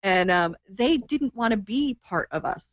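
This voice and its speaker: A woman's voice: mid-range voice, animated, unhurried, no room sound, highs cut off. A woman in her forties co-hosting a podcast, chatty and enthusiastic, laughing easily. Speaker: podcast co-host. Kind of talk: casual podcast chat.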